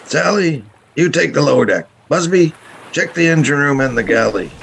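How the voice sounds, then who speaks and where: Man acting a gravelly, businesslike boat captain. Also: fairly high pitch, expressive, normal speed. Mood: brisk. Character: sea captain of a tourist lobster boat, practical, businesslike. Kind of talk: radio play acting with first-person narration. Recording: faint background noise.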